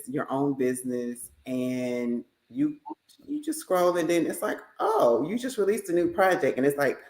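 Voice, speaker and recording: An effusive podcast host chatting casually with a guest and speaking straight to the listeners. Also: mid-range voice. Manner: effusive, addresses listeners directly. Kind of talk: casual interview chat. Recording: roomy, echoey sound.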